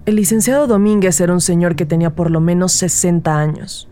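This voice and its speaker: Actress as mellow low-voiced rookie woman detective. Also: a little fast, slight background noise, close to an everyday voice. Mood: measured, grave. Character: earnest rookie woman detective narrating her first case. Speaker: narrator and lead character. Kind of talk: scripted audio drama narration and dialogue.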